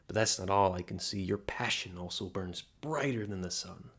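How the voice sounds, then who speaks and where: A man's voice: deep voice, normal speed, fairly bright tone, slightly rough, full character voice. A man playing a flirty, theatrical palm reader at a fantasy inn, speaking in courtly, coaxing phrases to the listener in an intimate audio roleplay. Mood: intrigued, flirty.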